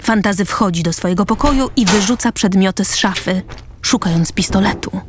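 A woman's voice: medium pitch, a little fast, noisy background. A woman reading scripted present-tense narration for a radio play. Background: music.

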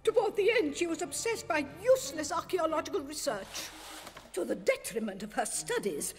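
A woman's voice: high voice, very animated, moderate pace, slight background noise. A woman playing a prim, disapproving middle-aged schoolmistress in a cartoon. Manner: prim, precise. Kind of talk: cartoon acting. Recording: echoing hall, faint music.

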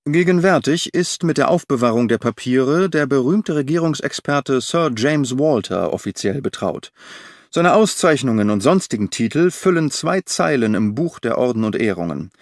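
A man reading the part of a well-informed government official giving a measured briefing in an audiobook. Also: medium pitch, expressive, no echo, clean studio sound, natural voice.

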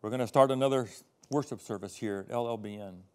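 An older male pastor delivers a sermon from a studio podium. He drops to quiet, confiding asides, then builds to loud, emphatic readings of scripture. His voice sits at an ordinary middle pitch and is picked up close on a lapel mic. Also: quick pace, smooth.

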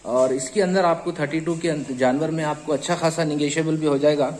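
A man's voice: fairly high pitch, fairly even intonation, brisk, darkish tone, slight background noise. A man with a Hyderabadi accent hosting a casual on-site interview at a goat farm, chatty and repeating answers back. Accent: Hyderabadi Hindi-Urdu. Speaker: host of a goat channel.

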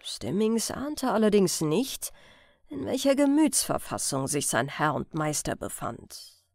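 A woman's voice: mid-range voice, very animated, measured pace, vocal fry, darkish tone, dry recording, clean studio sound. A woman narrating a crime story as an audiobook reader, in a scripted reading style.

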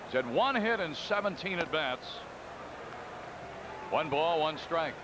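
Play-by-play baseball announcer, man, high voice, even and chatty, crowd noise loud behind. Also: a little slow. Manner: calm, conversational, reading stats. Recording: broadcast booth over loud crowd noise. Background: stadium crowd ambience.

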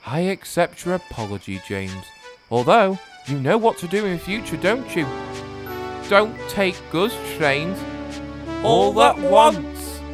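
A sensible older steam engine from a children's tale, voiced by a man, gentle and advisory. He takes his time over the words, with music behind. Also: sits high, very animated, slow, heavily acted. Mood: forgiving. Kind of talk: children's storybook character voice.

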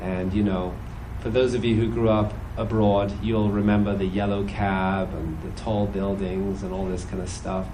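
A British man in his forties with an accent softened by years in America, giving a relaxed, good-humored Sunday sermon into a stand microphone. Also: lowish voice, slight background noise, poor audio. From British, raised in several countries. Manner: conversational and good-humored, many "you know"s, puts on quick voices. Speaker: church speaker. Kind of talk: Sunday sermon with personal testimony. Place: church hall stage.

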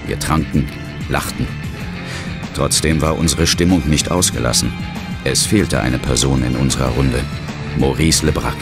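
A man's voice: extremely low pitch, unhurried, gravelly, pauses often, over loud music, exaggerated voice. An actor playing a resolute ghost hunter, recounting his adventure soberly and dramatically in a horror audio drama. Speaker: first-person narrator.

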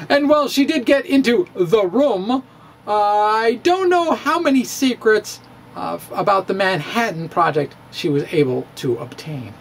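Wry man with lively pitch. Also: measured pace, vocal fry, slight background noise. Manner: wry, playful, laughs at own jokes. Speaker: science blogger. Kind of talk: comic dramatic reading. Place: small room with bookshelves, indoors. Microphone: handheld mic on stand, close.

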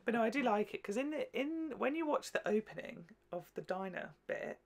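A man's voice: very high voice, lively intonation, moderate pace. A young British man chatting casually and enthusiastically as co-host of a reaction video. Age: young adult. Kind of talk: casual chat. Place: small indoor room at home. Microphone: microphone on the desk.

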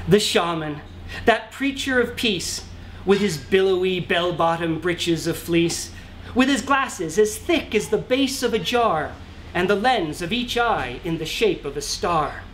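A man's voice: sits high, slight background noise. A man in his thirties reading a storybook aloud in rhyme, theatrical, rhythmic and emphatic. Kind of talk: dramatic rhyming storybook narration. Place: small cosy brick-walled lounge indoors.

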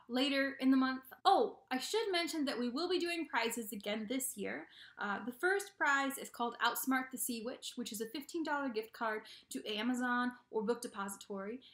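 Young female vlogger, bright and bubbly, casual announcement to camera, recorded in an echoey bedroom. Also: on the high side. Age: young adult. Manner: bubbly, animated, playful. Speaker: YouTube host of a book channel. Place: small bedroom indoors. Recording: echoey untreated room.

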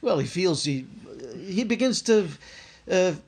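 An actor playing a bumbling, well-meaning working-class dad in a 1950s-style radio sitcom, with folksy, stammering speech. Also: male voice, high voice, animated, measured pace, a little mellow, breathy edge, exaggerated voice. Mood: fumbling, earnest.

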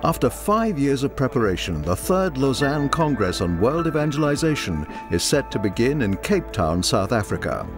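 Lively documentary narrator man. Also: medium pitch, normal speed, few pauses. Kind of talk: scripted narration. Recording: voice-over with music behind.

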